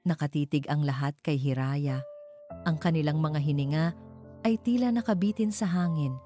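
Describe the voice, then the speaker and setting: Woman storyteller, pretty low soft-edged mellow voice, measured dramatic read of a love story, bit of music behind. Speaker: story narrator. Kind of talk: scripted narration of an inspirational love story. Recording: music under the voice. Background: background music.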